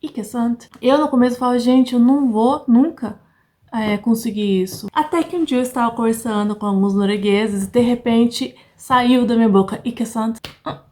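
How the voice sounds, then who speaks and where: A woman's voice: on the high side, moderate pace, darkish tone. A middle-aged woman from Brazil with a Brazilian Portuguese accent, talking casually to her viewers in a vlog and laughing often. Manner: chatty, laughs often, keeps addressing viewers. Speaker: vlogger. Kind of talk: casual chat to camera.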